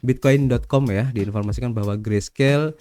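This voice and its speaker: A man's voice: mid-range voice, normal speed, warm tone. A man with an Indonesian accent, hosting a casual crypto news roundup with a stuffy nose from a cold, peppering his lines with "ya" and "guys". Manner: chatty, adds "ya" and "guys" to most lines. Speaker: crypto news channel host.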